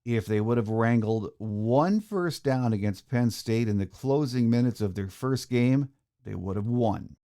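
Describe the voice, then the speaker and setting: A man's voice: mid-range voice, measured pace, mellow. A middle-aged man hosting a sports podcast, delivering a scripted monologue. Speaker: podcast host.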